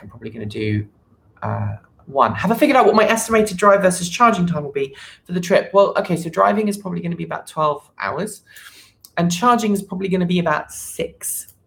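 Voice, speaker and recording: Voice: mid-range voice, fairly quick, vocal fry, fairly bright tone. A live stream host chatting casually and unscripted, rambling and thinking aloud. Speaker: channel host.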